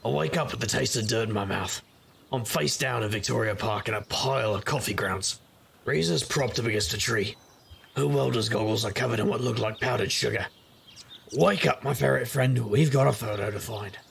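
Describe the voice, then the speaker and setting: An actor playing a hard-drinking, roguish private investigator in a noir audio drama, gruff and husky, with wry, world-weary wisecracks. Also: male voice, medium pitch, moderate pace, a bit bright, slight background noise. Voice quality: gravelly, husky.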